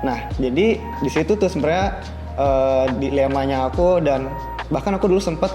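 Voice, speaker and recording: A man's voice: mid-range voice, normal speed. Young student guy, mid voice, chatting casually, music going under him. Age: young adult. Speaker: podcast guest, university student. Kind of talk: casual chat. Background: background music.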